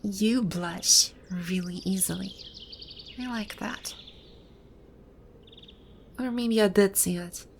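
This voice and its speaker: A woman playing a proud, teasing video game villainess come to life, speaking softly in an intimate ASMR roleplay. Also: mid-range voice, takes it slowly, pauses often, slight background noise. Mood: teasing, smug. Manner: smug, teasing, soft-spoken with breathy asides. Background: birdsong and outdoor ambience sound effects.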